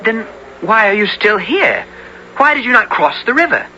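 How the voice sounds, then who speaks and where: Earnest, bright-voiced adventurous youth. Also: male voice, high voice, big pitch swings, moderate pace, slight background noise, full character voice. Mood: brisk, earnest. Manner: archaic, formal period speech. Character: earnest, questioning orphaned youth narrating his adventure. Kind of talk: 1970s radio adventure drama acting and narration. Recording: dull vintage radio broadcast, music behind.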